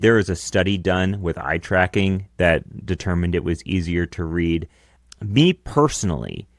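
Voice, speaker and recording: A man in his thirties with a deep voice, co-hosting a podcast in casual chat from a small home studio on a close broadcast mic. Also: dry recording. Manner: chatty, explaining his gear with casual asides. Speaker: podcast co-host. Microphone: close dynamic broadcast mic.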